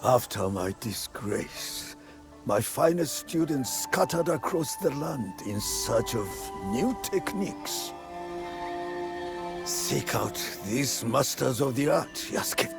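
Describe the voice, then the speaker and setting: Slow, creaky old samurai master. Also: male voice, mid-range voice, expressive. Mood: solemn. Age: older. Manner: measured, sage, theatrical. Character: wise, stern old samurai sword master. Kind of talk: video game cutscene acting. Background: music.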